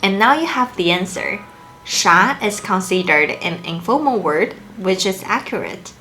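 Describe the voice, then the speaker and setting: A young woman, a native Chinese speaker, hosting a language lesson video and explaining clearly in an upbeat, easily impressed way. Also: medium pitch, lively intonation, measured pace, pushed and bright, faint music behind, rough recording. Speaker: language teacher and channel host.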